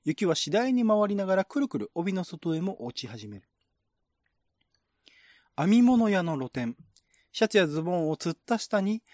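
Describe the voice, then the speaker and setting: A man delivers measured, scripted narration in the style of a radio drama. His voice sits somewhat high for a male reader. The recording is dry, with little room sound around the words. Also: no room sound.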